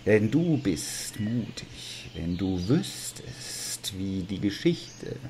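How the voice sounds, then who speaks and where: A man's voice: fairly low pitch, big pitch swings, heavily acted. A man voicing a sly, menacing talking serpent in an audio drama. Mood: sly, ominous. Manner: slow, menacing. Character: sly, ominous male talking serpent tempter. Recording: loud birdsong and noise behind.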